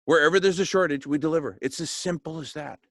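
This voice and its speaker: A man talking informally and enthusiastically like an organizer pitching a plan, emphatic and jokey, often checking for agreement. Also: quick pace, fairly bright tone, dry recording, studio quality. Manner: enthusiastic, emphatic, repeatedly seeking agreement with tag questions; jokey, chuckles at his own remarks. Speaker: organizer of a food charity project. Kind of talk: informal motivational planning talk.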